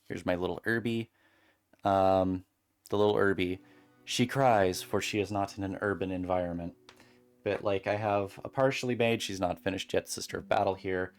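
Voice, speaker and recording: A streamer chatting casually and calmly while beading at a home desk, rambling gently with many fillers. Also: low voice, normal speed, soft background music. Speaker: crafting streamer.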